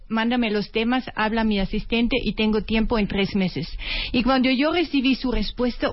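A woman from Austria with an Austrian accent, earnestly explaining a method step by step as a radio guest. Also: on the high side. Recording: dull lo-fi radio audio.

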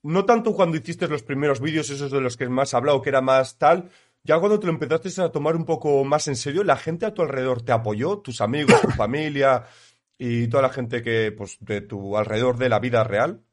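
A man's voice: mid-range voice, fairly quick. A man with a Spain Spanish accent hosting a casual interview, asking long, winding questions. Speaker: interviewer host.

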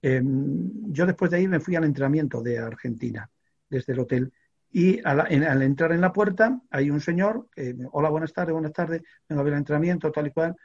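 An older man from Extremadura spins a long reporting anecdote, his voice gravelly and breathy. He keeps restarting and padding his phrases with fillers, and the recording has a slightly muffled, dull tone. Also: fairly high pitch, brisk, a little mellow. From Extremadura, Spain. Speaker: guest, veteran sports radio reporter. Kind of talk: storytelling in a studio chat.

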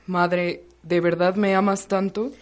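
A woman acting a devoted, gallant young man in a radio soap opera, speaking gently. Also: lowish voice, flat delivery, slow pace. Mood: affectionate. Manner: gentle, courtly, affectionate. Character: devoted, gallant young son, a medical student.

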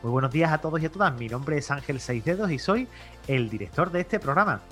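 A man's voice: fairly high pitch, animated, fast talker, fairly bright tone, soft background music. A man with a Spain Spanish accent hosts a casual interview, chatting informally and often repeating or rephrasing himself. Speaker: podcast host.

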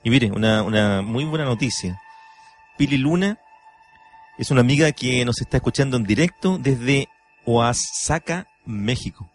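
A man's voice: medium pitch, animated, moderate pace. A man with a Chilean accent hosting casual radio talk, politely and hesitantly searching for words. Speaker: radio host. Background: soft music.

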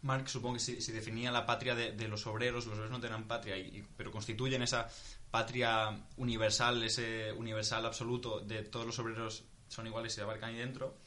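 A man's voice: medium pitch, little pitch movement, fast talker, hardly any pauses, bright, forceful tone. A young man from Spain in a casual group discussion, thinking aloud with many restarts. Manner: thinking aloud, restarts, swears when stuck.

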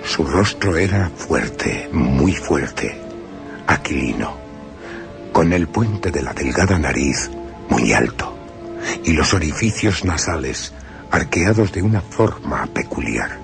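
A man's voice: very deep voice, animated, slow pace, gravelly, full character voice. An actor playing a young male solicitor, who tells his frightening journey in tense first-person radio drama narration. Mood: fearful. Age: young adult. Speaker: narrator as character. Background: music.

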